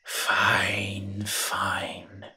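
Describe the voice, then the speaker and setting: A man voicing a grumbling, reluctant invisible monster with a secret soft heart in an audio roleplay, dry and sardonic. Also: deep voice, monotone, drawn-out words, full character voice. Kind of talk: scripted character monologue, audio roleplay.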